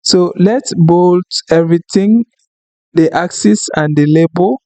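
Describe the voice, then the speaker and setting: Slow-talking, high-voiced man, French native. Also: a little mellow, dry recording. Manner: unhurried, apologetic, thinks aloud with fillers. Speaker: tutorial instructor. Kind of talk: screen-recorded software tutorial.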